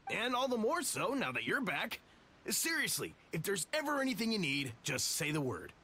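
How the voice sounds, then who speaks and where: A man's voice: sits high, huge pitch swings, normal speed, pushed and bright, a little hiss or hum, full character voice. A man voicing an indignant, distrustful young soldier in a video game, with an emphatic, grumbling delivery. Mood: earnest.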